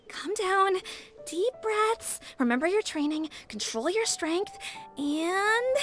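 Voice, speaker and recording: A woman's voice: extremely high pitch, very animated, slow pace, fairly bright tone, faint music behind, exaggerated voice. A woman playing a self-assured, girlish expert in a video game, talking in short, controlled phrases. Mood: confident, focused.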